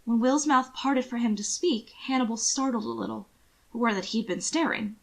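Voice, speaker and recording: Woman narrating a story, measured and expressive. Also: a little high, gravelly. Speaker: narrator reading fan fiction aloud.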